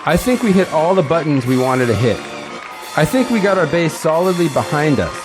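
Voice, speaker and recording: A man's voice: fairly high pitch, sing-song, a little fast, music in the background, a crowd in the background. A man acting in an audio drama as a seasoned, self-assured middle-aged politician with an emphatic delivery. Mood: confident.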